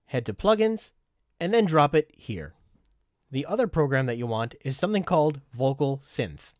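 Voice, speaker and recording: Guy doing a tutorial voiceover, mid pitch, methodical, really dry close mic. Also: no echo. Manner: methodical, instructional. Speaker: tutorial presenter. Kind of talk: scripted software tutorial narration.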